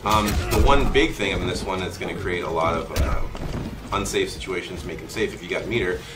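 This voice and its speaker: A man's voice: deep voice, fairly quick. A man giving casual, unscripted fighting-game commentary, explaining moves in heavy gaming jargon. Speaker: game demonstrator on a stream. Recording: distant mic under loud game music.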